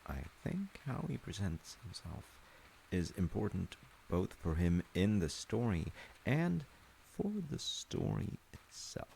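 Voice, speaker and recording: Male, low creaky voice, gentle thoughtful storyteller dad, measured and reflective, faint ambient noise behind. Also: big pitch swings, rough recording. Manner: reflective, measured, explaining with pauses. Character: gentle, thoughtful dad telling his child a folktale. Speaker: storyteller, audio roleplay voice actor playing a dad. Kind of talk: intimate improvised-sounding bedtime storytelling and chat.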